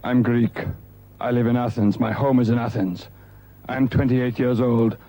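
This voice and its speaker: A man's voice: mid-range voice, fairly even intonation, unhurried, dark tone, slight background noise, natural voice. An actor playing a cheerful Greek businessman of twenty-eight who talks eagerly and boastfully in a TV English-course sketch. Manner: eager, bursts out proudly, rattles on.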